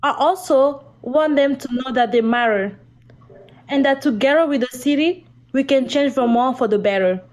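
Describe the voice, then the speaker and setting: High-voiced woman from Central Africa. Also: moderate pace. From Democratic Republic of the Congo. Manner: steady, scripted delivery. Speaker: community outreach worker. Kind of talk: prepared video introduction.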